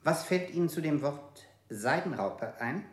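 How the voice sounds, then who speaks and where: An actor playing a male interrogator who asks word-association questions in a measured, insistent way. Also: a little high, lively intonation, roomy sound. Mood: clinical. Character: cold male interrogator, clinical and persistent. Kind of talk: radio drama acting.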